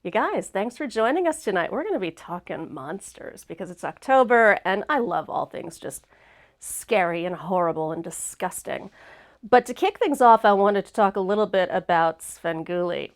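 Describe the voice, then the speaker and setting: A woman hosts a casual live chat show, a little congested and sniffly as she talks. Her voice sits at an ordinary middle pitch. She stays chatty and upbeat and laughs easily. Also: animated, normal speed. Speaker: host.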